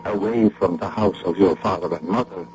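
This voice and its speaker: An actor playing a solemn foreign mystic doctor in an old radio drama, speaking slowly in grandiose, gravelly pronouncements. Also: male voice, sits low, darkish tone, natural voice. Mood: ominous. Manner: grandiose, slow, sermonizing pronouncements. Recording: muffled, noisy radio drama recording.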